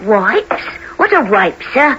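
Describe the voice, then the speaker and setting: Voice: medium pitch, big pitch swings, takes it slowly. A performer playing Oliver Twist, an innocent, weary orphan boy with an earnest, politely formal manner, in a radio play. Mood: curious. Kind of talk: family radio play acting. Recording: dull lo-fi with clear noise.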